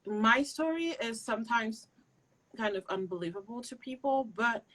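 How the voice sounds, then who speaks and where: A woman's voice: fairly high pitch, expressive, moderate pace, fairly bright tone, low-quality recording. A young woman from Cameroon whose first language is not English, giving earnest and direct advice as an interview guest. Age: young adult. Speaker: interview guest, PhD student.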